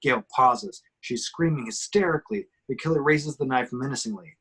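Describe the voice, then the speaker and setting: A man's voice: mid-range voice, moderate pace, fairly bright tone, husky. A man reading screenplay action descriptions aloud at a table reading.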